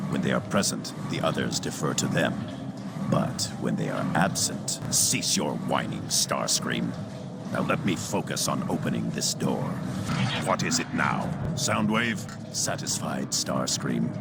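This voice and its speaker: An actor playing a cold, calculating alien robot scientist and commander in a video game, with gravelly, clipped, formal and haughty speech. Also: male voice, rumbling low, heavy background noise, full character voice. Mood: impatient, disdainful. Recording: game audio under loud music.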